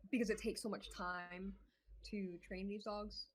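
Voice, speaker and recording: A young woman speaking as a podcast guest in casual chat, hesitant and soft-spoken, filling pauses with "um" and light laughs. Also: mid-range voice, little pitch movement, slight background noise. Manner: soft-spoken, hesitant, many fillers, giggly.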